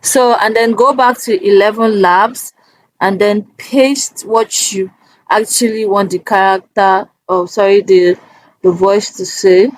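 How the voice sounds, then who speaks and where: A woman presents a casual how-to at an unhurried pace. Her medium-pitched voice goes step by step, haltingly, with frequent little check-ins. The sound is close and dry. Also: dry recording, low-quality recording. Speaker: tutorial host. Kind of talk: casual screen-recorded software walkthrough.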